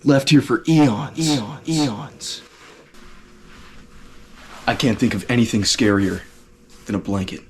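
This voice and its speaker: A man voicing a goofy male monster hunter in an indie horror game cutscene, slow and mock-dramatic, talking to his viewers. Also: medium pitch, lively intonation, slight background noise. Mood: mock-spooky.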